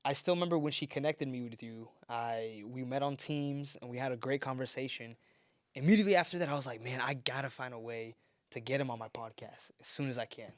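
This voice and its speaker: A man's voice: mid-range voice, brisk, dry recording. Young guy hosting his podcast, upbeat, laughs a lot, dry close sound. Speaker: podcast host. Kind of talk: casual podcast chat.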